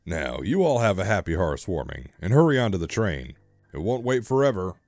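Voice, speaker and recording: A man's voice: sits low, big pitch swings, fairly quick, darkish tone, faint music behind. A man playing a genial middle-aged father pony in a horror story, affable and chatty with hidden menace. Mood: cheery. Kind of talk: character acting in an audio horror story.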